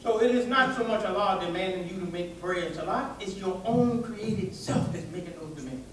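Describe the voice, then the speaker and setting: High-pitched male preacher in an echoey hall. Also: brisk, slight background noise. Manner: folksy, rhetorical questions, colloquial asides. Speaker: preacher giving a public religious address. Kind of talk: animated sermon with call-and-response to a live audience. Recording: echoey hall, distant microphone.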